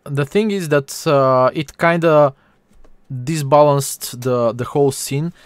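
A man in his thirties with a Bulgarian accent, giving an informal live tutorial in a halting, hesitant way with frequent pauses. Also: on the high side, smooth, dry recording, clean studio sound. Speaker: 3D artist hosting a stream.

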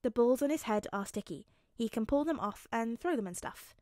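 Woman voicing gentle, patient teenage boy. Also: fairly high pitch, expressive, brisk, no room sound, studio quality, natural voice. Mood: explaining.

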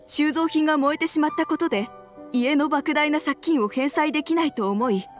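Game role: woman as troubled wife and mother, higher-pitched, measured, courteous and earnest. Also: lightly acted. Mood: worried, resolute. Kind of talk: video game voice acting.

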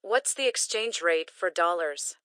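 A woman reading short English phrases slowly and carefully, each one repeated for learners to practice. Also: on the low side, animated, a bit bright, no echo, clean studio sound. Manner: careful, clearly enunciated. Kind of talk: slow repeated phrase drills.

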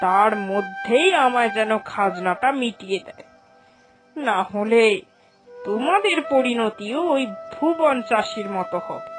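A woman's voice: fairly high pitch, measured pace, over music, lightly acted. A woman playing a haughty, cruel older landlady in a cartoon folk tale, imperious and threatening. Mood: menacing. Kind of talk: Bengali animated folk-tale acting.

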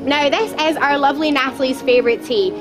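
A young woman leads a tour group through an outdoor garden, her voice high and bright as she talks loudly to the people around her. She is chatty and playful, and she is picked up by a camera a few steps away. Music plays clearly in the background. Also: fast. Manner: loud, lively and chatty, with playful jokes. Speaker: tour guide. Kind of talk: guided tour talk to a group. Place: outdoor garden at a theme park. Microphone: camera a few steps away, no microphone.

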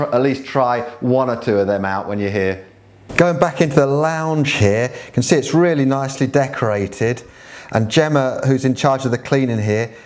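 Chatty middle-aged man touring holiday flats. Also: mid-range voice. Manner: friendly, chatty, walking and pointing as he talks. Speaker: property video host. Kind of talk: walking tour presenting holiday flats. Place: seaside quay outdoors, then rooms of a flat.

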